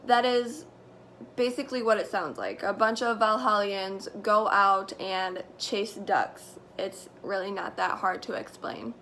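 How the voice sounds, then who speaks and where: A teenage girl giving a mock-serious school presentation, telling a made-up story in a deadpan, mock-formal way. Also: mid-range voice, a little slow, slight background noise. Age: teens. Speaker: student presenter.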